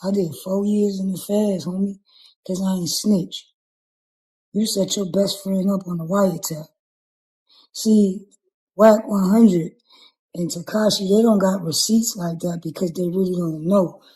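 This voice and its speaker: A woman with a husky voice delivering an accusatory, slangy rant. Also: medium pitch, fairly even intonation, unhurried, mellow, poor audio. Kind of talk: accusatory street-talk rant.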